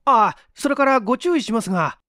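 A man voicing an earnest young male assistant, courteous and brisk, in an audiobook story. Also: very high voice, animated, bright, forceful tone, dry recording, studio quality, voice pushed up, exaggerated voice. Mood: earnest, polite.

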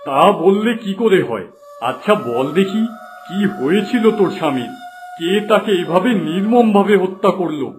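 A man's voice: sits high, animated, normal speed, over music. A man voicing a blunt, inquisitive wandering holy man in a fairy-tale cartoon, speaking in a familiar, questioning way.

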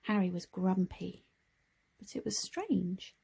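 A woman narrating a children's picture book, expressive and deliberate in her phrasing. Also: on the low side, creaky, slightly rough. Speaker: storybook reader. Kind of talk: scripted children's story narration.

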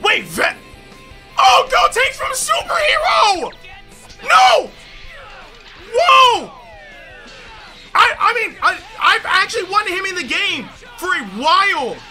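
A young man hosting a gaming video, reacting to a trailer with hype, slang and yelling, speaking on a headset in a small home gaming room. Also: very high voice, big pitch swings, takes it slowly, pauses often, over music. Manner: hyped, yelling and laughing, slang-heavy. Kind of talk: excited gameplay reaction.

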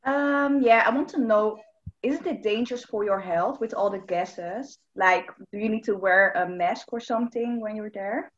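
A woman's voice: medium pitch, normal speed, dull audio. A woman answering hesitantly over a video call, using fillers. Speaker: conversation partner.

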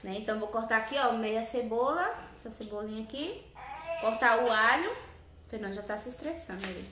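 A woman's voice: on the high side, animated, a little fast. Chatty young woman cooking, echoey kitchen. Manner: chatty, keeps asking viewers "né, gente?". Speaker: cooking vlogger. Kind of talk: casual cooking chat to camera. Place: small home kitchen with tiled walls. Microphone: camera on the counter, partly hand-held over the stove. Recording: echoey tiled kitchen, faint background noise.

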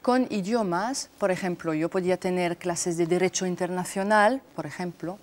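A woman's voice: fairly low pitch, normal speed. A woman in her thirties from France with a French accent, a TV interview guest speaking frankly with hesitations, on a lapel mic in a studio. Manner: frank, lightly amused, with many hesitations.

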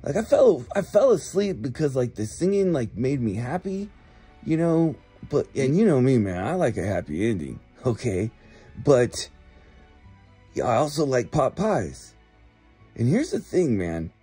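Man, slow-paced slacker ramble, animated intonation, casual phone-message style, drawn-out words. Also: mid-range voice, breathy edge, soft background music. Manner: laid-back slacker, drawn-out words, frequent "like", chuckling. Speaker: comic voicemail caller.

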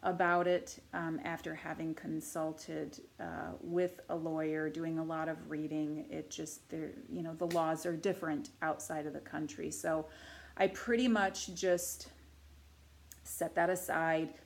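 A woman in her forties talks to camera from a living room couch, her voice very low and husky because she is fighting a cold. She speaks in an earnest, measured way, with many small pauses and fillers. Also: fairly even intonation. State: deeper and huskier from a cold. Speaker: artist and channel host. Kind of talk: casual to-camera vlog.

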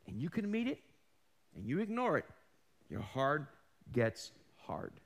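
High voiced preacher guy, kinda hoarse from losing his voice, fired up, throws questions at the crowd. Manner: animated, emphatic preaching that swings between loud and hushed; calls out questions to the congregation. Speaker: pastor. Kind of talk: sermon. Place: large church stage, indoors.

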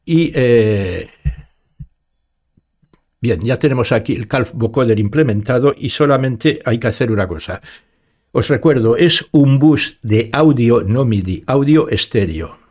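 A man in his sixties with an accent from Spain, giving an informal step-by-step software tutorial and talking each step through aloud into a close studio mic. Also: mid-range voice, lively intonation, a little slow. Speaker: tutorial presenter. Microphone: studio microphone up close, with headphones.

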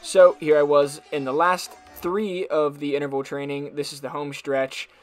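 A man's voice: a little high, level tone, moderate pace. A man in his twenties, a fitness vlogger giving a scripted voice-over about a workout. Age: 20s. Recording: loud music behind the voice. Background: music.

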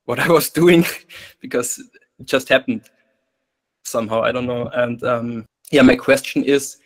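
A man's voice: medium pitch, unhurried. A man in his thirties with an Austrian German accent asks a question on a video call, hesitant, with small laughs. His first language is German. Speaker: student asking a question. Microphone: video call webcam.

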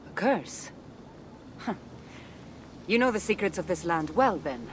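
An actress playing a confident, secretive ancient Greek warrior woman in a video game, with a Greek accent and a measured, teasing manner. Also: on the low side, sing-song, a little hiss or hum. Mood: wry, thoughtful. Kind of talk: video game cutscene acting. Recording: game audio with music behind. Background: game soundtrack music.

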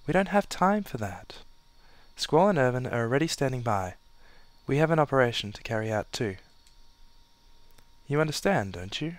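A man's voice: medium pitch, big pitch swings, moderate pace, pauses often, dry recording, natural voice. Man doing a stern young woman instructor. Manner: firm, scolding. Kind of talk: game dub dialogue.

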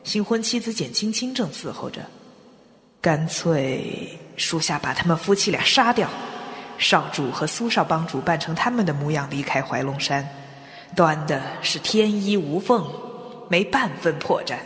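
A man's voice: fairly high pitch, expressive, measured pace, fairly bright tone. A man playing a deferential, ruthless sect subordinate in a wuxia audio drama, formally reporting to a superior. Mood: obedient, cold. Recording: long hall reverb.

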